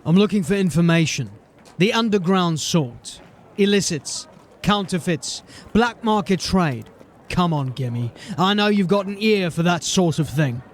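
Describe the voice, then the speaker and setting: An actor playing a gruff, shrewd city watch sergeant in a fantasy audio drama, probing and matter-of-fact. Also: male voice, high voice, lively intonation, unhurried, slight background noise.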